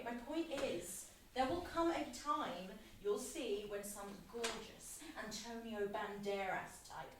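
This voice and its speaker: A woman's voice: mid-range voice, natural voice. Woman playing studious teenage girl, very echoey. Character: studious, cautious teenage girl, loyal best friend. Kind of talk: naturalistic drama acting. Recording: very echoey room, distant mic, faint noise.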